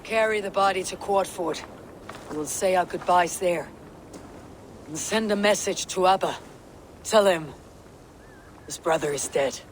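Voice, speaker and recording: An actress playing a blunt, commanding Viking warrior leader in a video game, solemn and threatening. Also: female voice, lowish voice, unhurried, pauses often, slight background noise, natural voice. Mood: grim, solemn. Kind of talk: dramatic video game acting.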